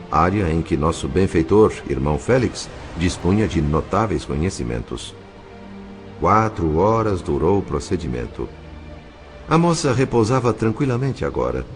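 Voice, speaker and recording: Brazilian guy narrating an audio novel, deep voice, measured and serious, soft music behind. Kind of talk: dramatic scripted narration.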